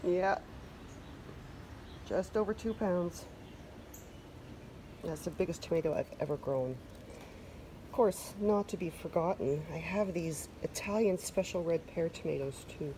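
A woman's voice: fairly low pitch, a little slow, long pauses, dark tone, slight background noise, rough recording. A Canadian woman chatting casually through a home garden vlog, loose and self-deprecating, with plenty of fillers. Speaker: vlogger. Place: covered wooden deck outdoors.

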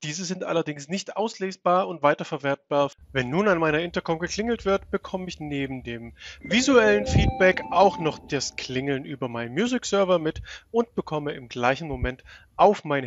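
Man in his thirties, slightly high voice, step-by-step tutorial narration, dry close room sound. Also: no echo, lo-fi sound. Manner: explanatory, step-by-step. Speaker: tutorial presenter and trainer. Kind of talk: instructional software walkthrough narration.

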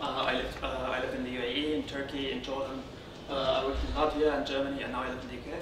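A man from Syria giving an informal technical conference talk as a speaker, often halting and rephrasing as he goes. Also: medium pitch, flat delivery. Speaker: conference speaker, software consultant. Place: indoor darkened conference room, on stage beside a lectern. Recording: roomy, distant, noisy room sound.

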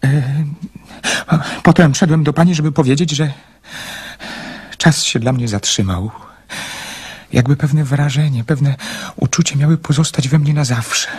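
An actor playing a shy, devoted young man in love in a radio drama, speaking in a husky, halting, stammering and earnest way. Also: on the high side, a little mellow. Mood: wistful.

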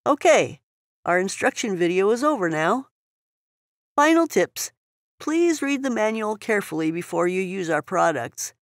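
A woman's voice: medium pitch, big pitch swings, normal speed, no room sound. Woman narrating instructions, dry close sound. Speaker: product tutorial narrator.

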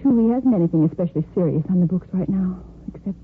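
An actress in a 1950s American radio drama playing a weary young society woman, quietly compassionate beneath a frivolous front. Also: fairly low pitch, animated, fast, lightly acted. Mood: weary, earnest. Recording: very noisy, tinny 1950s radio recording.

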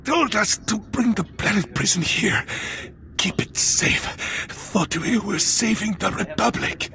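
Wounded man, breathless, strained and creaky, pained, regretful, video game acting. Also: high voice, measured pace, sharp tone, a little hiss or hum, full character voice. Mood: remorseful, pained. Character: mortally wounded, remorseful male technician gasping out warnings.

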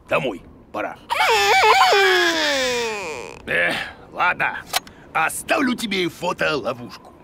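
Grumbly, excitable cartoon creature guide: high-pitched, unhurried, theatrical, bumbling, music underneath. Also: male voice, vocal fry, exaggerated voice. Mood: excited, pleased. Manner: theatrical, hesitating, exclaiming and grumbling. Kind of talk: children's educational cartoon acting.

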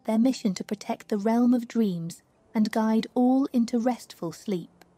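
A woman reading a scripted guided sleep meditation in a slow, soothing, hushed manner. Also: a little high, no echo. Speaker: meditation narrator. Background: music.

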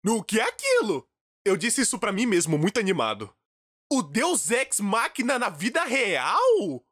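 A man with a Brazilian accent narrating a comedic animated story in a theatrical, exaggerated way. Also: measured pace, dry recording, clean studio sound. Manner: theatrical, exaggerated, swinging from low mutters to shrill outbursts.

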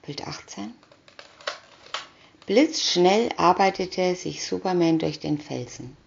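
Female storybook narrator: low-pitched, deliberate with pauses between captions, muffled lo-fi recording. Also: lively intonation, gravelly, slight background noise. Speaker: reader of picture-story booklets. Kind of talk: scripted narration of a children's comic story. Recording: dull lo-fi recording.

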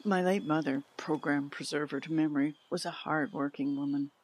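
A woman playing a frail elderly mother who recalls a hard past frankly and deliberately in an audio drama. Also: deep voice, steady tone, husky. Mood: reflective. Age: older.

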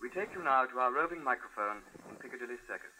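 A man playing a grave British radio newsreader in a 1950s drama, measured and official. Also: mid-range voice, animated, bright, forceful tone. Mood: measured, reporting. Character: grave, formal British radio news announcer. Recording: faintly noisy vintage radio recording.

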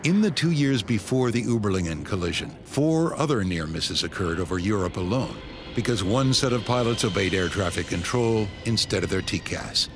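A man's voice: lowish voice, animated, normal speed, noisy background. A man reading scripted, dramatic narration as the narrator of a documentary. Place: voice-over booth. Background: background score music.

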